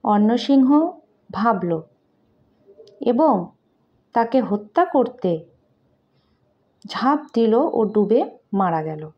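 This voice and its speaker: A woman with a Bengali accent reading a moral story aloud to children in simple, deliberate phrases, like a teacher. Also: a little high, big pitch swings. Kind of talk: slow read-aloud moral story with translation. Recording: dull lo-fi home recording.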